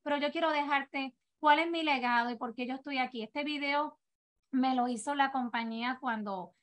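Woman, thirties, Puerto Rican accent, high pitch, earnest, encouraging, motivational business talk, video call. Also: normal speed, a bit bright. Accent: Puerto Rican Spanish. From Puerto Rico. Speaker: direct-sales team leader. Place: home office, indoors.

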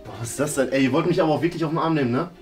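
A man's voice: fairly high pitch, racing, darkish tone, faint music behind. A man in his thirties with a German accent, casually hosting and commenting on a guessing game in a room. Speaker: host and contestant of a guessing game show. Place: medium-sized indoor room.

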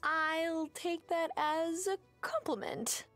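A woman's voice: very high voice, steady tone, takes it slowly, fairly bright tone, soft background music, full character voice. An actress voicing a friendly, wry and easygoing young woman in a cozy video game.

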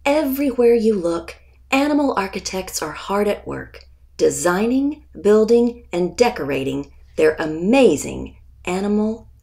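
A woman reading a children's picture book aloud as its author, deliberate and expressive, with pauses before key words. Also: medium pitch, gravelly.

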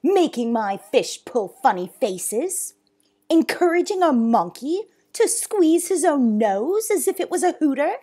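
A woman's voice: very animated, measured pace, warm tone. A woman voicing a pompous, furious male zoo owner who bellows and blusters indignantly in a storybook reading. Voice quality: booming, bellowing. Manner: blustering, indignant, emphatic questions.

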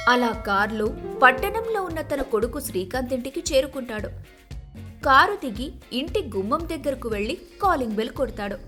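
A woman narrates a storybook tale in a high voice. Her intonation swings in a lively way, with light music playing behind her.

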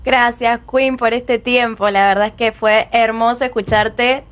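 A woman's voice: a little high, fairly even intonation, measured pace. A woman with an Argentine accent speaking effusively as an admiring fan on a radio show.

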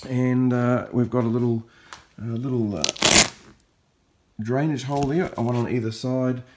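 A man's voice: mid-range voice, steady tone, unhurried, very noisy. New Zealand man, casual fishing vlogger. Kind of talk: casual vlog commentary.

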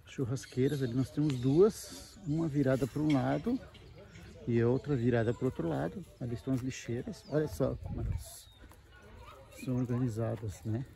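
A man's voice: mid-range voice, moderate pace, gravelly, warm tone. A man giving a casual, explanatory walking tour as a camping vlogger, speaking conversationally into a handheld mic. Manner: explanatory, conversational with frequent check-in tags. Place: outdoors at a wooded campground. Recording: noisy outdoor handheld recording.

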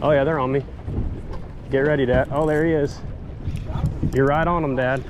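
A young man fishing on a boat, calling out excitedly as the fish bite, with stretches of relaxed narration about the trip. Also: mid-range voice. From United States. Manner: excited, quick exclamations while fishing. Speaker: fishing vlogger. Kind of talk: casual fishing commentary and voice-over. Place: out on a boat on the open water. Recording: wind noise on an outdoor boat mic.

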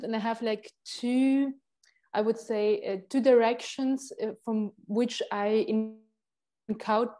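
A woman's voice: medium pitch, warm tone. A young woman from Ukraine whose first language is Russian, speaking English as a textile artist at an artist talk, thinking aloud with many fillers and restarts.

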